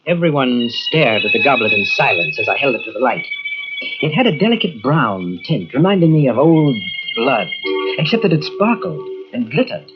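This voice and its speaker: An actor playing an obsessive man who narrates his own mystery in deliberate, theatrical old-time radio style. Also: medium pitch, very animated, music in the background, natural voice. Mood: brooding. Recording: dull old radio tape from cassette.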